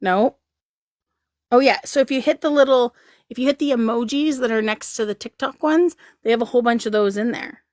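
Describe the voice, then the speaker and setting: Woman host of a casual stream, giggly and rambling, a touch high-pitched, recorded dry. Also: a little fast, a little mellow, no room sound. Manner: giggly, rambling, reads chat aloud. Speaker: livestream host. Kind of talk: casual livestream chat.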